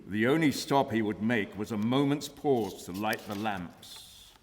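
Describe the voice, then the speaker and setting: A man's voice: fairly low pitch, a little slow, a little hiss or hum. A man reading a gothic novel aloud as dramatic literary narration. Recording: reverberant hall at a live reading.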